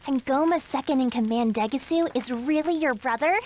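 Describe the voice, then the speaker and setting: Woman as bossy game girl, high peppy voice, slangy and direct, bit of background noise. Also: brisk, a little mellow, close to an everyday voice. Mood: curious, teasing. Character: bossy, energetic, inquisitive anime girl. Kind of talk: anime video game voice acting.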